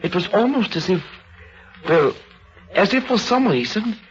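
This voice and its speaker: A man playing a shaken, hesitant young bereaved son in an old-time radio drama, speaking haltingly. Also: high voice, expressive, faint music behind. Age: young adult. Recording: dull old radio recording.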